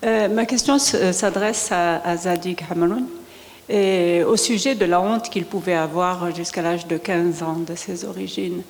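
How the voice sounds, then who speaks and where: A woman's voice: on the low side, unhurried, a little mellow. A woman in the audience asking the panel an earnest, probing question. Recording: reverberant hall with faint room noise.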